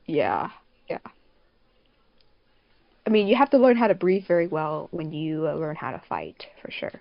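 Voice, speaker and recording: A woman's voice: low voice, big pitch swings, moderate pace, creaky, no room sound. Dry, muffled woman podcaster chatting casually. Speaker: podcast host, fandub production crew member. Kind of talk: casual group podcast chat.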